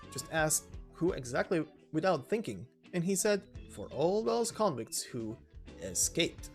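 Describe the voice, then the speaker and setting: A man's voice: fairly high pitch, a little mellow. A man voicing a chatty, folksy male prison convict who rambles in slangy talk in a video game story. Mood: wistful storytelling.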